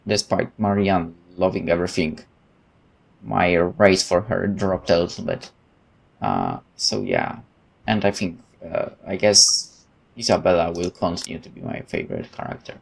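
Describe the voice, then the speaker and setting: Casting: male gaming host with a lower, fry-laden voice, swinging intonation, expressive narration and commentary. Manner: expressive, reads game text aloud with interjections. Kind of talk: let's play narration and commentary.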